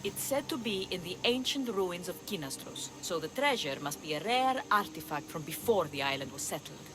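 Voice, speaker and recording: A woman's voice: on the low side, expressive, moderate pace, close to an everyday voice. Woman playing a sly treasure hunter over background noise. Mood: sly, eager. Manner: theatrical, sly. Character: scheming, boastful seafaring treasure hunter who double-crosses mercenaries. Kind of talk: video game cutscene acting.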